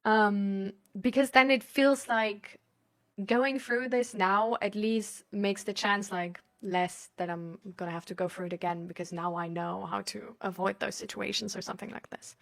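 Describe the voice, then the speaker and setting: A woman's voice: mid-range voice, steady tone, normal speed, gravelly, fairly bright tone. A woman from Germany chatting casually as a live host, rambling and thinking aloud in a hesitant, giggly way. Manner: giggly, laughs often while talking. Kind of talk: casual chat.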